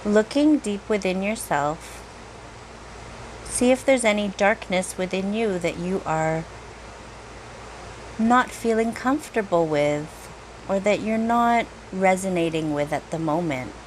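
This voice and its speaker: A woman speaks as a meditation guide, very slowly and gently. She leaves long pauses between unhurried phrases. A light, steady hum like air conditioning sits behind her mid-pitched voice. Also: poor audio. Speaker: meditation guide, reiki teacher. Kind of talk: guided meditation, slow soothing narration.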